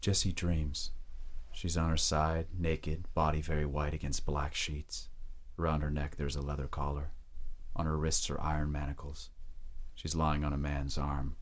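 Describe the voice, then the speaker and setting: Really deep voiced guy reading scripted audio drama narration. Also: monotone, moderate pace.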